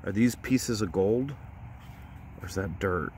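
A man in his thirties digging through a storage unit outdoors, talking excitedly to his phone camera in a casual vlog. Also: on the low side, a little slow. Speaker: storage unit treasure hunter. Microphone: handheld phone camera. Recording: noisy outdoor phone recording.

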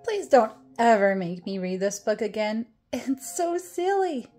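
Slow, playful woman reading a storybook. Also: fairly high pitch, big pitch swings, warm tone, faint music behind. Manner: playful, exaggerated mock dismay, dramatic drawn-out words and whispers. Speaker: storytime reader. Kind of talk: animated children's picture book read-aloud.

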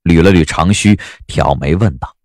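A man narrating a story as an audiobook narrator, in a scripted, expressive and measured dramatic style. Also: low voice, soft background music.

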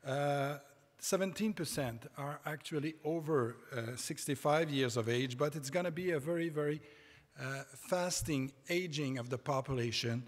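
A middle-aged French Canadian man speaks at a podium microphone, his voice pitched a little high for a man. He lectures haltingly, with many pauses and restarts. Long reverb from the large conference hall trails his words. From Quebec, Canada.

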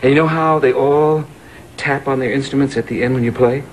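A man's voice: mid-range voice, fairly even intonation. A man hosting a talk show, chatting playfully and joking with his guest. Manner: playful, joking, quick banter. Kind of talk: casual chat. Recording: noisy vintage TV audio.

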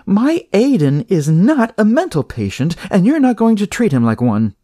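A man puts on a frantic, protective mother for an audio story. The voice is raised high, insistent and indignant, with lively swings. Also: moderate pace, no room sound, studio quality. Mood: alarmed, indignant.

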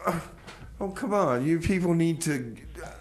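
A man's voice: a little high, lively intonation, normal speed, a little hiss or hum, close to an everyday voice. A man playing a forgetful, self-important aging radio DJ in a comedy radio play, rambling and stammering defensively.

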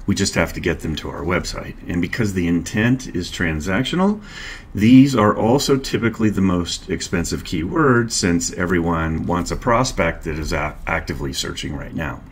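A middle-aged man narrating a screen-share tutorial, calmly explaining each step and click as a marketing instructor. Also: sits low, moderate pace, a little hiss or hum. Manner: calm, explanatory, walks through clicks step by step.

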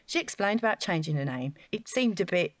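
Naive earnest office worker, lowish voice, flustered and chatty, audio drama, dry close sound. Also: female voice, sing-song, brisk, dry recording. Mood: explaining. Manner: flustered, chatty, over-explaining. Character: earnest, naive female council housing officer.

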